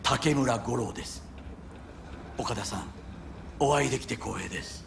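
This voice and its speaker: Gravelly, stern Japanese ex-bodyguard. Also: male voice, medium pitch, lively intonation, normal speed. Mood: formal. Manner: formal, terse, grave. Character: stern, formal Japanese male ex-bodyguard, proud and weary. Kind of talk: dramatic game dialogue. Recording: loud background vehicle and ambience noise.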